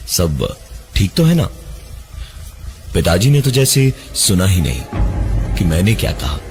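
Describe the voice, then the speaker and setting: A man narrating a horror story in the first person, dramatically, with suspenseful, deliberate pauses. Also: low-pitched, big pitch swings. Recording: music behind the voice, 3D voice effects. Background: prominent music.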